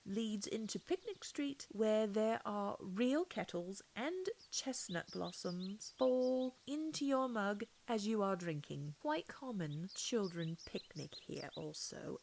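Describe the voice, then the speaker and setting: A woman narrating a children's storybook in an expressive way, with deliberate pauses and a creaky edge to her voice. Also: a little high. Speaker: audiobook reader. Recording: faint background hiss.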